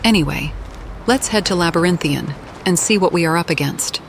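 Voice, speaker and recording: Low-voiced woman narrating a fantasy game. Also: brisk, slight background noise. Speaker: gaming video narrator playing a character. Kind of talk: in-character role-play game narration.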